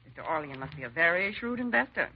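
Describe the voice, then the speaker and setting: Genteel society lady, very animated intonation. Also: lowish voice, fast talker. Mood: composed, chatty. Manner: genteel, hesitant, proper. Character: genteel, dignified society charity hostess, naive and trusting. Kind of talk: 1950s radio drama acting. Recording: muffled, noisy 1950s radio recording.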